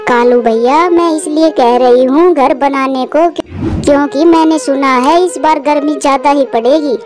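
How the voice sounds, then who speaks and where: A woman's voice: sits high, normal speed, lightly acted. A woman voicing a kind, sensible young female bird in a Hindi cartoon. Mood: earnest, gentle.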